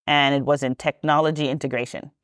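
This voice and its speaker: A woman's voice: a bass voice, little pitch movement, quick pace, no room sound, studio quality. A woman, a podcast guest telling her story in a chatty, rambling way with many ums and self-corrections. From Trinidad and Tobago. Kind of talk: casual storytelling interview.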